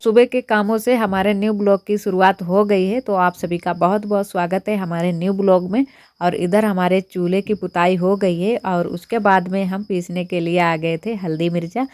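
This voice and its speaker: A young woman with a hoarse, husky voice from a cold, chatting casually as she walks through her cooking in a village home kitchen. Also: medium pitch, fairly even intonation, moderate pace, darkish tone, no room sound, faint music behind. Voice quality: husky, strained voice. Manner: chatty, homely step-by-step narration. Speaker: village vlogger. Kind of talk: casual cooking vlog narration.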